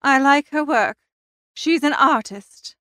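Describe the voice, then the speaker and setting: A woman's voice: sits high, takes it slowly, fairly bright tone, no echo, studio quality. A woman playing a dignified, stately older aunt with a commanding air in an audiobook story. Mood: wistful. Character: dignified older aunt, quietly commanding stage-duchess type.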